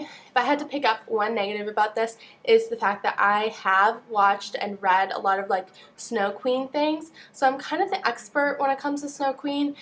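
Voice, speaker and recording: A young woman with a nasal voice, stuffed up with a cold, chatting casually as she gives book reviews. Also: a little high. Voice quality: nasal, congested. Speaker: book vlogger.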